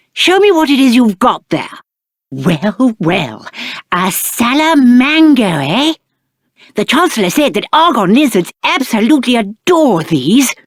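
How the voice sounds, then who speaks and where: Spoiled young game prince, British accent, high-pitched, very animated, haughty, petulant, aristocratic diction. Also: male voice, no echo, clean studio sound, full character voice. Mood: eager, bossy. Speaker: video game voice actor. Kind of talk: theatrical video game character acting.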